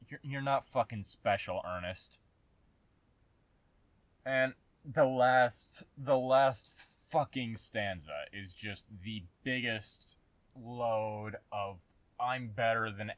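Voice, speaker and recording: A man giving a casual, sarcastic commentary rant, exasperated and swearing heavily, with halting, emphatic repeats. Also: fairly low pitch, a bit bright, no echo. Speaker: host of a commentary channel.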